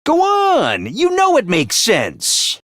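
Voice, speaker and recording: A man voicing a peppy, bossy little mascot commanding officer in a video game, with a high cartoonish voice and theatrical, jaunty drill-sergeant delivery. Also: huge pitch swings, takes it slowly, fairly bright tone, dry recording, clean studio sound, full character voice. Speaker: voice actor for a video game character. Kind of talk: animated video game voice acting.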